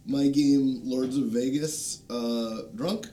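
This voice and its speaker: A man with a soft-edged, mellow voice at a middle pitch talks casually as a panel guest. He feels his way through sentences with ums and pauses. A low rumble of noise sits behind him. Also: steady tone. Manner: hesitant, halting delivery with frequent ums and long pauses. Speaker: guest, game designer. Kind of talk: casual panel chat, recommending video channels.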